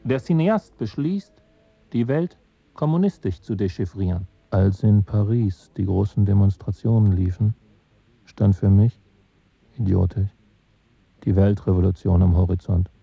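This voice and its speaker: An actor in a German radio play, playing an opinionated, disillusioned revolutionary film intellectual who muses in halting phrases. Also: male voice, on the low side, creaky, breathy edge, no room sound, phone-quality sound, full character voice. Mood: reflective, opinionated. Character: male French cinephile director, opinionated, disillusioned revolutionary intellectual.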